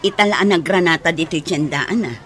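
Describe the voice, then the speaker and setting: A man's voice: a little high, fairly quick, a bit bright, close to an everyday voice. A man playing a middle-aged, consoling and accepting parent in an audio drama, with a soothing way of talking. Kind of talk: radio-style audio drama acting. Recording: music and crowd noise behind. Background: music, outdoor crowd sounds.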